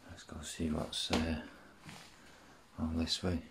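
A man's voice: extremely low pitch, completely flat delivery, slow. A British man giving casual, informal exploration commentary to his viewers on a handheld camera indoors. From United Kingdom. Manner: informal, addresses viewers as guys. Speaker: urban explorer vlogger. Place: inside a small derelict cottage. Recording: noisy handheld camera audio.

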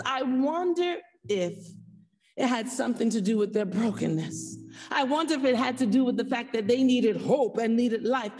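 A woman preacher delivering an earnest sermon, exhorting her listeners with repeated phrases and emphatic pauses. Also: high-pitched, darkish tone. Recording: echoey hall, distant from the mic.

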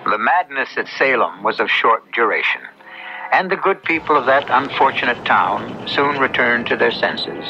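A man hosting and narrating a radio drama in a measured, literary, solemn scripted style. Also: medium pitch, expressive, creaky, noisy background. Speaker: host and narrator. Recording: dull, band-limited old radio recording. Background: music.

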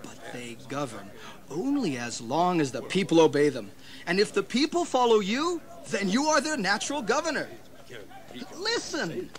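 Flattering colonial conspirator, audio drama. High pitch, lively swings. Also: male voice, moderate pace. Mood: eager, persuasive. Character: eager, flattering ally urging a rebel on. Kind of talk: historical audio drama acting.

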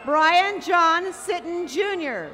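A woman reading graduates' names one by one at an outdoor commencement, slow and careful. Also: very high voice, animated, pushed and bright, soft background music, a faint crowd. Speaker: commencement name reader.

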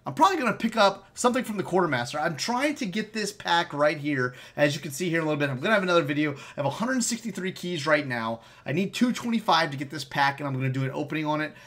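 A man's voice: fairly high pitch, animated, fast, few pauses, fairly bright tone. An American man in his thirties giving casual, upbeat, slangy commentary for a gaming video.

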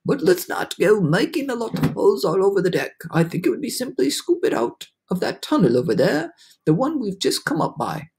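A performer voicing a courteous old male grasshopper with a formal, measured, kindly manner in a children's storybook. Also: medium pitch, very animated, vocal fry, darkish tone, pitched above the performer's own voice. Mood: relieved. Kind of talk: children's storybook read-aloud.

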